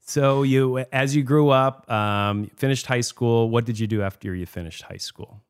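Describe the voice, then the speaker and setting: A younger man hosts a podcast interview. He opens with a clear, scripted welcome. Then he moves on to relaxed, friendly questions. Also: medium pitch, normal speed. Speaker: podcast host.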